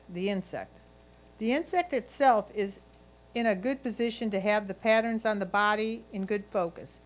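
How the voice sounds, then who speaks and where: A woman's voice: on the high side, low-quality recording. A woman reading a judge's written critique aloud. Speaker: reader of judges' comments.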